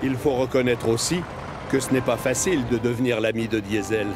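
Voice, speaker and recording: A man's voice: mid-range voice, fairly even intonation, a little fast, natural voice. A man narrating a children's train cartoon as a genial storyteller. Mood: genial storytelling. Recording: music behind the voice.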